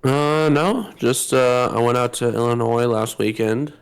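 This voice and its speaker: Man, podcast co-host, casual chat, slightly hoarse, sore-throat rasp. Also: mid-range voice, unhurried.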